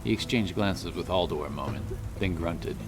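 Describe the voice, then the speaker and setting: Male fantasy audiobook narrator, very low voice, storytelling delivery over prominent music. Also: moderate pace. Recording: loud music under the voice.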